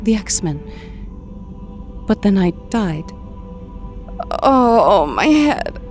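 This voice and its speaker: A woman playing a bewildered young mutant woman just woken from a coma, speaking haltingly in an audio drama. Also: mid-range voice, sing-song, darkish tone, soft background music. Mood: in pain. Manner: halting, dazed delivery.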